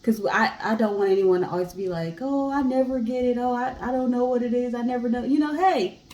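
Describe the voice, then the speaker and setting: A woman co-hosting in an expressive, chatty way, telling stories casually. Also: a little high, fairly quick. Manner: chatty, expressive, acts out imagined remarks. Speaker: co-host. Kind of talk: casual chat and informal storytelling.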